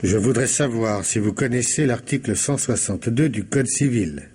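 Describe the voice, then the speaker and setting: Actor as a husky, stern police commissioner. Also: male voice, medium pitch, darkish tone, natural voice. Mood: stern, probing. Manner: formal, deliberate, interrogating. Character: stern, methodical police commissioner, formal and unbending. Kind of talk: French radio mystery drama acting.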